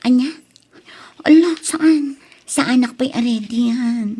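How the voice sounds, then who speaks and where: A woman's voice: high voice, slow pace, mellow, slightly rough, heavily acted. A woman playing a playful, shy young student in an Ilocano audio drama, speaking with an Ilocano Filipino accent and a teasing, casual manner. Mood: coy, hesitant. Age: young adult.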